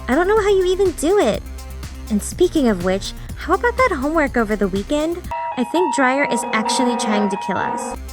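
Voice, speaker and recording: A woman's voice: sits high, very animated, fairly quick, pitched above the performer's own voice. A woman voicing a dramatic, envious teenage schoolgirl in an audiobook, with a teasing, exaggerated way of talking. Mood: teasing, jealous. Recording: music behind the voice.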